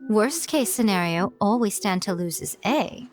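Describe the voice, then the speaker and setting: A woman playing a sly, composed fox shrine maiden in a video game, speaking formally with a teasing, smug air and unhurried phrasing. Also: medium pitch, expressive, soft background music, natural voice. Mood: sly, assured. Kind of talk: video game character acting.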